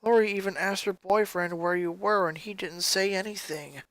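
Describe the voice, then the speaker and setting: A man voicing a timid, guilt-ridden eight-year-old emo girl from a story, in a subdued, halting, apologetic way. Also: high voice, steady tone, a bit bright, dry recording. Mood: pleading.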